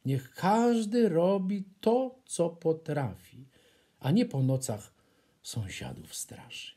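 A man voicing an exacting nightingale singing master from a children's storybook, husky and haughty. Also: a little high, lively intonation, slow, mellow, voice pushed up, full character voice. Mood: dismissive.

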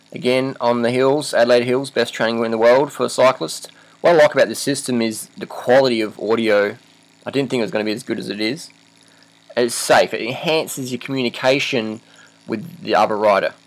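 A man's voice: fairly low pitch, low-quality recording. A man in his thirties with an Australian accent, giving a casual, laid-back product review in short, clipped phrases. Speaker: vlogger, cyclist reviewing gear.

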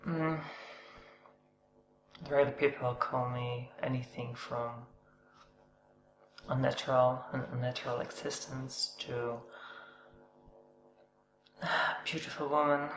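Woman, 30s, halting and soft-spoken, flat delivery, echoey room. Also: a bass voice. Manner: hesitant, soft-spoken, pausing and sighing. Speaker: vlogger. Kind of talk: personal testimony. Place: indoor room, seated on a couch before a dark backdrop. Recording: echoey room with humming noise.

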